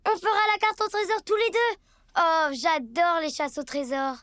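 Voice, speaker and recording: A woman voicing a playful, stubborn little girl mouse in a preschool cartoon, with childlike, expressive delivery. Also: very high voice, moderate pace, no room sound, clean studio sound. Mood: playful, keen.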